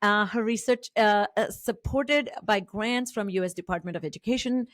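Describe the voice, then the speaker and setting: A middle-aged woman from India with an Indian English accent, a native Marathi speaker, hosting an interview podcast in a measured way with many fillers and restarts. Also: medium pitch, dry recording, studio quality. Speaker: podcast host.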